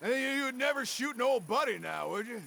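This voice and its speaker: High, gravelly Old West outlaw. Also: male voice, expressive, fairly quick, bright, forceful tone, a little hiss or hum, exaggerated voice. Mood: sly, bitter. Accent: American. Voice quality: gravelly, creaky. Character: sly, cynical outlaw ex-sheriff of the Old West. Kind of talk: video game cutscene acting. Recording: echoey, reverberant sound with music behind.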